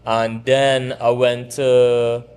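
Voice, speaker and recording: A man in his thirties from Trinidad, with a Trinidadian accent, talking relaxed and rambling as a barbershop owner in an interview. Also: mid-range voice, extremely slow, smooth. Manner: relaxed, rambling with restarts and laughs. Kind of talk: casual interview chat.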